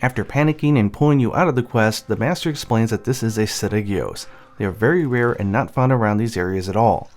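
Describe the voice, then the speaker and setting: A man's voice: lowish voice, brisk, darkish tone, slight background noise. A man giving light scripted narration over game cutscenes, explaining the story as he goes. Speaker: narrator and channel host. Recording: music behind the voice. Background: game music.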